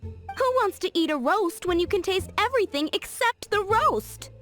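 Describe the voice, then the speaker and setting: A woman's voice: very high voice, expressive, normal speed, fairly bright tone, faint music behind. A woman voicing a proud female chef who sticks to tradition, speaking in a haughty, sharply critical way. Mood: scornful. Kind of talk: video game dub acting.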